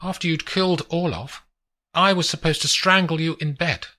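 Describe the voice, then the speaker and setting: A man voicing a calm, composed young woman with a faint foreign lilt, reading a thriller audiobook. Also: on the high side, moderate pace, voice pushed up. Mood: confessing. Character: calm young woman, a cool former assassin. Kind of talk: audiobook character voice.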